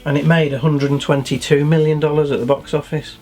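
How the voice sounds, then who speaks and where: A man's voice: a little high, level tone, quick pace, darkish tone, soft background music. A British man co-hosting a casual film discussion, speaking bluntly about his opinions. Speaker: podcast co-host.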